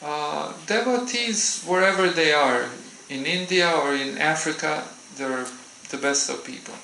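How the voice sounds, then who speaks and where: A man giving a gentle, unhurried devotional talk in a temple, pausing often as he answers questions. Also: fairly high pitch, vocal fry, slight background noise. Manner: gentle, unhurried, with frequent hesitations. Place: temple hall. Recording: roomy hall echo.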